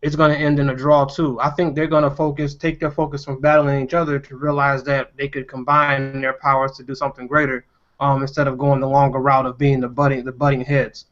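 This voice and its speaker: A man's voice: mid-range voice, level tone, a little fast, a bit bright. A man chatting casually in a group discussion, conversational and given to acting out imagined dialogue. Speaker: podcast panelist. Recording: dull lo-fi recording.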